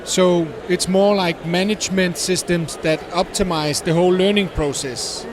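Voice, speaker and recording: High-voiced, halting man over clear background noise. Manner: hesitant, halting, searching for words. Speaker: startup representative being interviewed. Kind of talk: explanatory interview answers. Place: busy tech conference venue. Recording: noisy event hall, steady din.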